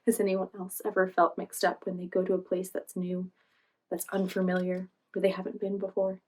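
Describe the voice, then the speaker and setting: Woman, storytime host, expressive picture book reading, encouraging, questioning, pauses for young listeners. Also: lowish voice, rough recording. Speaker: read-aloud storytime host, teacher.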